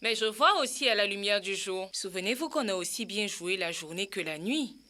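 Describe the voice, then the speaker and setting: Bright, swooping, friendly night animal. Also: female voice, mid-range voice, lively intonation, normal speed. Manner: encouraging. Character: friendly night-seeing animal who praises children. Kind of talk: French-dubbed educational cartoon acting.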